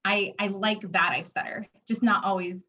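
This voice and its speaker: A woman in her twenties talks openly about her own life, stuttering often with repeated sounds and blocks. She fills pauses with filler words, and the recording sounds dull and slightly lo-fi. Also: mid-range voice, steady tone, sharp tone. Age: 20s. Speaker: interviewee. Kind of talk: personal testimony.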